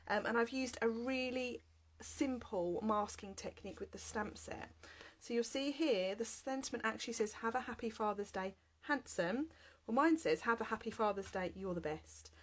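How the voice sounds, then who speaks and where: A woman's voice: on the high side, moderate pace. A woman in her thirties with a heavy cold, leading a live card-making class from her home craft room. She talks in a chatty, upbeat way with plenty of "um"s. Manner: chatty and upbeat, frequent "um" fillers. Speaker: craft demonstrator. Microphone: webcam at desk, then overhead camera.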